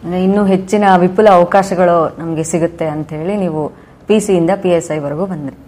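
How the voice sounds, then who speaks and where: Female podcast interviewer, lowish pitch, dark mellow tone. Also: fairly even intonation, normal speed, slight background noise. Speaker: interviewer, police sub-inspector probationer.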